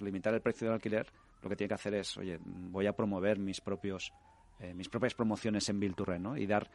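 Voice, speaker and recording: A man with an accent from Spain speaking off the cuff on a panel, repeating words and adding checking tags. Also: lowish voice. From Spain, Barcelona area. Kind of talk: spontaneous panel talk.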